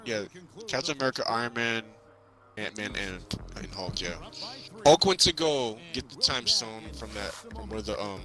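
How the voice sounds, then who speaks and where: A man casually recapping a movie in a halting, rambling way, repeating himself as he goes. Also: on the low side, lively intonation, bright, forceful tone, soft background music. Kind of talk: casual movie recap chat while playing a video game. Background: crowd noise and basketball bouncing from a game.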